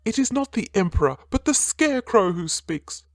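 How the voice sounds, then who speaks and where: A woman's voice: mid-range voice, very animated, moderate pace, dry recording, studio quality. A woman voicing a crafty, quavering old male court official with ceremonious speech.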